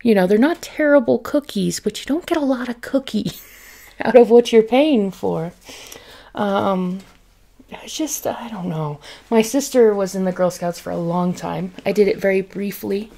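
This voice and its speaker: A woman in her thirties from the United States, chatting softly in an ASMR grocery haul in her home kitchen. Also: mid-range voice, measured pace, creaky. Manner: soft-spoken, chatty, laughs often. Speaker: ASMR creator.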